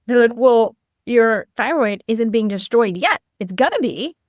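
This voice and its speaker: A woman's voice: fairly high pitch, lively intonation, unhurried, no echo, studio quality. A middle-aged woman from Lithuania telling a personal story conversationally as an interview guest, acting out bits of dialogue as she goes. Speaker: podcast guest, clinical nutritionist.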